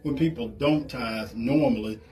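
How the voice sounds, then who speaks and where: A male pastor talking to a churchgoer about giving in a calm, persuasive way, quoting scripture. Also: medium pitch, measured pace. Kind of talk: church talk on tithing.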